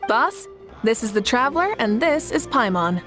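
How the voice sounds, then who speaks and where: A woman's voice: fairly high pitch, big pitch swings, moderate pace. An actress playing a confident, playful young woman leader in a video game, with an assured, teasing manner. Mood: friendly. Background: game music.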